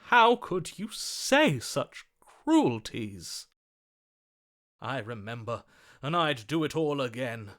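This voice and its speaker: An actor playing a lovestruck, gallant romantic hero in a video game, speaking in a florid, swooning, melodramatic way. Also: male voice, medium pitch, very animated, slow, fairly bright tone. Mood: tender, adoring. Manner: florid, swooning, dramatic delivery. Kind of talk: theatrical video game voice acting.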